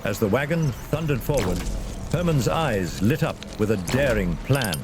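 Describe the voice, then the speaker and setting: A man's voice: mid-range voice, lively intonation, a little slow. A man narrating a scripted fantasy adventure in a dramatic storytelling style. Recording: clear vehicle and ambience noise behind.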